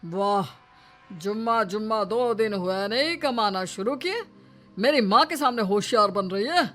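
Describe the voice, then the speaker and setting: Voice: medium pitch, animated, measured pace, fairly bright tone. An actor playing an overbearing, bullying middle-aged father-in-law in a story, sarcastic and domineering. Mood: sarcastic anger. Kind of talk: dramatised Hindi moral story. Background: music.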